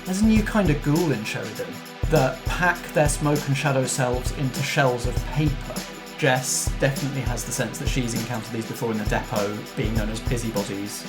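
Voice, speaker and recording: A man's voice: medium pitch, brisk, over music, low-quality recording. A man narrating a tabletop role-playing story as game master and putting questions to the players.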